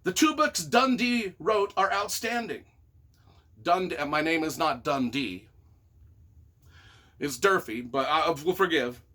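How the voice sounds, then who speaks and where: A man reading reviews aloud and reacting casually in an expressive, wry, self-deprecating way. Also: sits high. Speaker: author and YouTuber.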